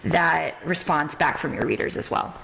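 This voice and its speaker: A woman's voice: low-pitched, animated, normal speed, vocal fry, a bit bright, rough recording. A woman hosting a casual, conversational interview in an agreeable, affirming way. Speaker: podcast host interviewing an author.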